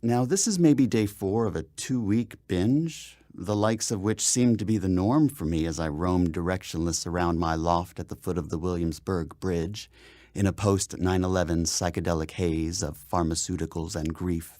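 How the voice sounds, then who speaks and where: A man's voice: low voice, darkish tone, no echo. A man reading his own memoir aloud as a dramatic first-person narration, with theatrical, emphatic phrasing, close on a studio microphone. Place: voiceover studio.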